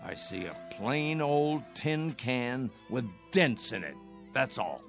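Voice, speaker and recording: An actor playing a worried, stubbornly practical old man in a radio drama, with a creaky voice and a fretful, scoffing manner. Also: mid-range voice, sing-song, slow, faint music behind, full character voice. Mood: alarmed, scolding. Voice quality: creaky, gravelly.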